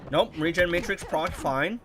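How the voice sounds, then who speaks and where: A man's voice: a little high, moderate pace, music in the background. A young man with a stuffy, nasal voice, chatting casually as he comments on his own gameplay. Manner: animated, puzzled, rambling. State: congested, nasal. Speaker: gaming YouTuber.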